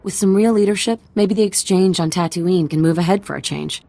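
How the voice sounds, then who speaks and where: A woman's voice: lowish voice, fairly quick, gravelly, warm tone. A woman playing a tough, frank frontier underworld figure in a video game, speaking in a casual, plain-spoken way. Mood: frank, grateful.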